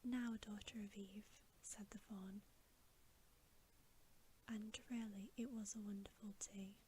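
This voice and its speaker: A woman's voice: medium pitch, steady tone, a little hiss or hum. Whispering woman reading a bedtime story. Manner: soft, unhurried, breathy whispering. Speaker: ASMR storyteller. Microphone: close microphone.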